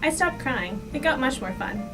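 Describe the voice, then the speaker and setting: A woman's voice: high voice, animated, normal speed. A woman reading a child's letter home aloud in short, simple sentences. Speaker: staff member reading aloud. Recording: distant mic, guitar music behind. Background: guitar music.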